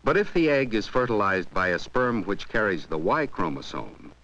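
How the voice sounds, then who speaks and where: Deep, expressive male narrator. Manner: measured, explanatory, poses questions to the viewer. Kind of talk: scripted educational film narration. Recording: dull 1970s 16mm film soundtrack.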